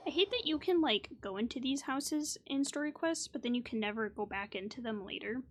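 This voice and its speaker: A woman's voice: a little high, animated, a little fast. A woman giving casual, chatty commentary as she plays through a video game. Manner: chatty, informal. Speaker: gaming video host.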